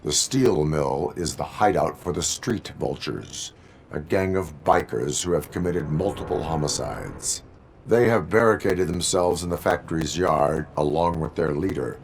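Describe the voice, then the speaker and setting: A grave cyborg police officer from a video game. The voice is deep, flat and robotic, with clipped, formal phrasing. Faint noise sits behind it. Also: male voice, unhurried. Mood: stern, matter-of-fact. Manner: clipped, formal, deadpan statements. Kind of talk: video game cutscene acting.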